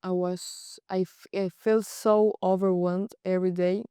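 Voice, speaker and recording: A young woman from Colombia with a Colombian Spanish accent, a native Spanish speaker speaking halting English with frequent restarts in a casual interview. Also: lowish voice, little pitch movement, mellow, smooth, no echo, studio quality. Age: young adult. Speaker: guest, college goalkeeper.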